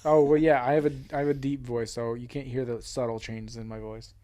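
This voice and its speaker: A man's voice: mid-range voice, steady tone, brisk, warm tone. A man in his twenties co-hosting a podcast. He is tipsy and jokes at his own expense, swearing casually. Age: 20s. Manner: joking, self-mocking, swearing. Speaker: podcast co-host. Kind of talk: casual chat.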